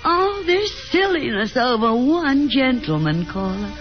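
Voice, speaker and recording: A woman's voice: high-pitched, sing-song, unhurried. An actress playing a fussy, domineering middle-aged mother in a 1950s radio drama, coaxing and insistent. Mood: exasperated, commanding. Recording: muffled old 1950s radio broadcast with music.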